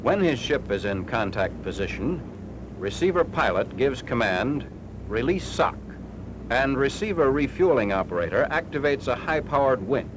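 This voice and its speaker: A man's voice: mid-range voice, very animated, moderate pace. Noisy 1940s film narrator, American man. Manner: formal, clipped, instructional. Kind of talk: 1940s military training film scripted narration. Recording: noisy 1940s film soundtrack, engine-like hum.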